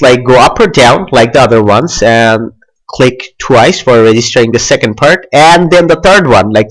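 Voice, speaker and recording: Young man hosting a casual software tutorial. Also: medium pitch, poor audio. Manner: loose, with many fillers like "like" and "uh".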